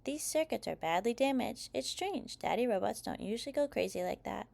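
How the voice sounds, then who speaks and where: A calm mother dog from a children's storybook, as a woman reader puts her on. She explains gently and wryly, in a voice with noticeable creak. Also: fairly high pitch, brisk. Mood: gentle, wry.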